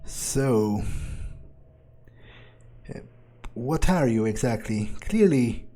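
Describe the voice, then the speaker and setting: A man's voice: medium pitch, lively intonation, drawn-out words, mellow. A man doing in-character gameplay commentary, thinking aloud in a nonchalant, rambling way with casual swearing. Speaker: let's player.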